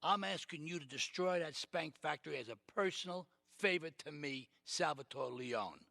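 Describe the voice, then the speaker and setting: A man's voice: fairly high pitch, animated, moderate pace, gravelly, sharp tone, no echo, studio quality, exaggerated voice. A man playing a calculating, commanding middle-aged mafia boss giving orders in a video game cutscene. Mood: calculating, persuasive.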